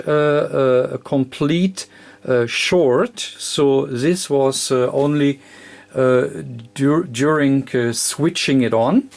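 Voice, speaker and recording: A man who is a non-native English speaker, giving an unscripted hands-on electronics demonstration in halting, hesitant, fragmented phrases. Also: medium pitch, darkish tone. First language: not English. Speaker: electronics tutorial presenter.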